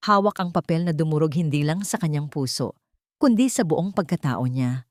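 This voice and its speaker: A woman narrating a dramatic romance story in a measured, somber reading. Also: low voice, lively intonation, a little mellow, no room sound, clean studio sound.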